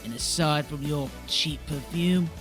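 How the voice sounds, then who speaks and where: A man playing a stern but courteous protector in an animated fan drama. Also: on the high side, measured pace, music in the background, lightly acted. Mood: stern, menacing.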